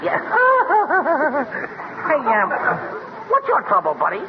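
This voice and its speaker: An actor playing a slangy, uneducated wisecracking loafer who mangles long words in 1930s radio comedy. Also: male voice, very high voice, huge pitch swings, drawn-out words, crowd noise, exaggerated voice. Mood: joking. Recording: dull, noisy old radio recording.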